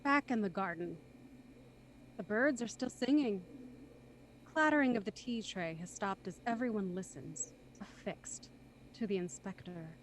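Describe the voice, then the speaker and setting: A woman's voice: mid-range voice, animated, measured pace, slight background noise, rough recording. A woman reading scripted, present-tense narration as the narrator of a radio drama.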